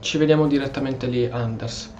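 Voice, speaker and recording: Male gaming host from Italy, average pitch, casual role-play commentary, light background noise. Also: steady tone, brisk, darkish tone, muffled recording. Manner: reacts aloud, answers game characters. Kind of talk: casual Italian-speaking let's play commentary, role-playing. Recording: faint game ambience behind. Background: video game audio.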